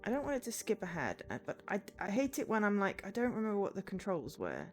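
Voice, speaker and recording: A woman's voice: medium pitch, huge pitch swings, fast talker, faint music behind. A woman game streamer chatting live and reading game text aloud, breaking off for exasperated asides.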